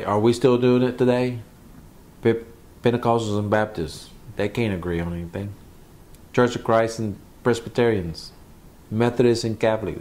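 A man's voice: on the low side, darkish tone. A man, a pastor, teaching from the Bible in a conversational sermon, repeating phrases for emphasis.

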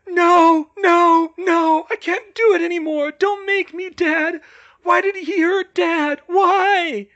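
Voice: extremely high pitch, fairly even intonation, takes it slowly, a bit bright, lo-fi sound, pitched above the performer's own voice, full character voice. A performer voicing a delirious young man who pleads in childlike terror with ragged, sobbing cries. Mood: tearful terror. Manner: babbling, begging, repeating pleas.

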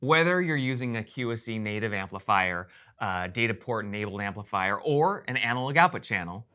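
A man's voice: fairly low pitch, expressive, a little fast, pushed and bright. An American man in his thirties delivering scripted instructional narration in an articulate, presenterly way with touches of dry humor. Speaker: training video presenter.

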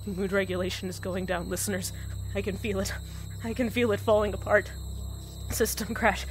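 A woman's voice: mid-range voice, level tone, normal speed, faint music behind, natural voice. A woman playing a lonely radio host in an audio drama who insists that everything is fine. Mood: panicked, unraveling. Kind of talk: scripted fan audio drama, radio monologue.

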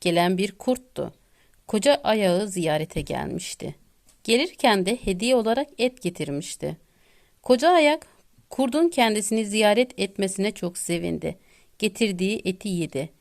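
Female storyteller, medium pitch, children's bedtime narration, deliberate phrasing. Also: animated, creaky, darkish tone.

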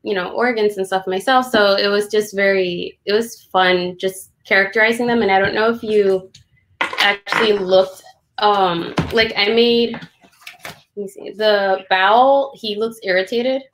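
A young woman speaks in a casual, chatty way at an average pitch. She sounds like an illustrator in informal conversation, recorded indoors at home on a video call. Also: fairly even intonation, measured pace, a bit bright, slight background noise, low-quality recording. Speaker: children's book illustrator. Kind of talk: casual conversational book talk. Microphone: video call, computer at desk distance.

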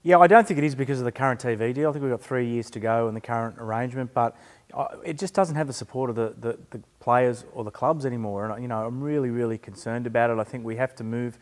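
A middle-aged man, a sports journalist, giving quick, hesitant, analytical opinions on a studio couch. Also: medium pitch, in a large hall. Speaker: journalist panelist. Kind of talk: casual sports chat.

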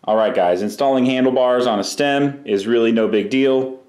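A man's voice: mid-range voice, moderate pace, a little mellow, echoey room. Man explaining a bike repair. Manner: casual, practical, explains step by step. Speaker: bike mechanic. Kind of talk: hands-on repair tutorial. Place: bike workshop.

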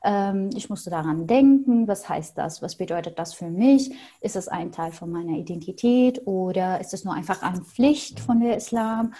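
Syrian woman, mellow and hesitant. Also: medium pitch, expressive, moderate pace, few pauses. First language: Arabic. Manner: thoughtful, hesitant with frequent fillers. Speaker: podcast guest. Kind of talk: casual interview answers. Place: small home room with paintings on wall.

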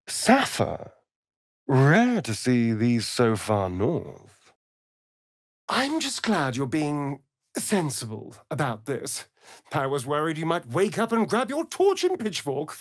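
A vain, sardonic vampire rogue from a fantasy video game. His voice is breathy and swoops widely in pitch. He drawls his words slowly, with arch, theatrical flair. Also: no room sound, clean studio sound, exaggerated voice. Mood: intrigued.

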